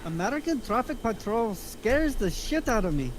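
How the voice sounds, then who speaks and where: A man's voice: extremely high pitch, big pitch swings, normal speed, background noise. A man reading the part of a blunt, trigger-happy gangster sidekick in a screenplay table read. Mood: alarmed.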